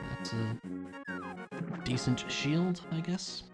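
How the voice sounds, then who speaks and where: A young man from Australia streaming a game and chatting casually with his viewers, relaxed and talkative. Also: mid-range voice, takes it slowly, over loud music. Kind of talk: casual chat while gaming, reading chat.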